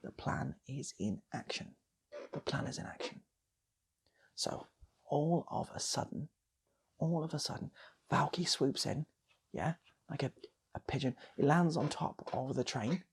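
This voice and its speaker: A man's voice: a little high, animated, a little slow, vocal fry, pauses often, whispered. A British man improvising a comic story, rambling and repeating phrases for effect as he goes. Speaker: channel host and storyteller.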